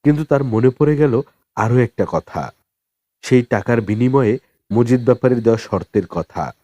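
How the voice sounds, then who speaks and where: A man narrating a novel as an audiobook in a dramatic, expressive storytelling style with deliberate pauses. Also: mid-range voice, no room sound.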